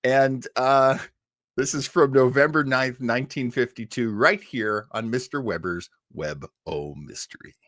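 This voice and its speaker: A man's voice: medium pitch, measured pace, a bit bright, no echo, studio quality. A man hosting a casual show about old-time radio, chatting in a rambling, jokey way. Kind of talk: casual livestream chat reading viewer comments.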